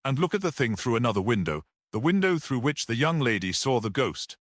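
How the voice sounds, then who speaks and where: A man voicing a small, composed priest detective in an audiobook story, speaking in a measured, reflective manner. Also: mid-range voice, sing-song, no echo, studio quality, natural voice. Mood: composed, grave. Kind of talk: classic detective audiobook character reading.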